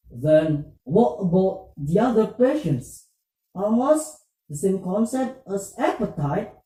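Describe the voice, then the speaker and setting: A puppet show presenter, speaking scripted religious dialogue in halting, deliberate English. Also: mid-range voice, mellow. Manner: halting, deliberate phrasing in non-native English. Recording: roomy echo, distant muffled microphone.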